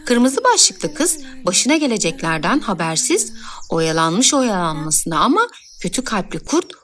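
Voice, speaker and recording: A woman's voice: mid-range voice, big pitch swings, a little slow, fairly bright tone. A woman storyteller reading scripted fairy tale narration for children.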